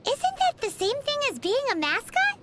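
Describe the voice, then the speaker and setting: Tiny childlike game fairy: extremely high, squeaky, swooping delivery, chirpy and cheeky, music behind. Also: female voice, normal speed, heavy background noise, full character voice. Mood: surprised, cheeky. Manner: speaks of self in third person, animated. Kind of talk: animated video game voice acting.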